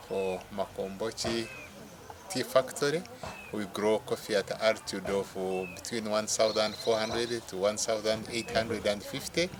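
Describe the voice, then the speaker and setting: A man with a Ugandan accent giving a measured, explanatory testimonial as a farmer. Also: on the low side. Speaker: coffee farmer and cooperative worker. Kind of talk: impromptu testimonial.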